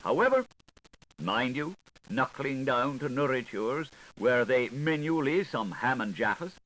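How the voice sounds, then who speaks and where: A man reading a literary novel aloud as an audiobook, with a lilting, highly varied, expressive delivery. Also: a little slow, rough recording. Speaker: audiobook reader. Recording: faint tape hiss, 1980s recording.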